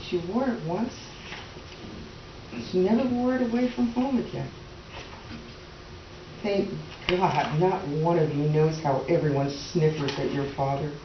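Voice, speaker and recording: A woman's voice: deep voice, unhurried, pauses often. A woman playing a weary, resigned tenant farmer's wife in a solemn, lyrical staged reading. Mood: wistful, bitter. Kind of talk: readers theater dramatic monologue. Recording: echoey room, distant mic, noisy, dull.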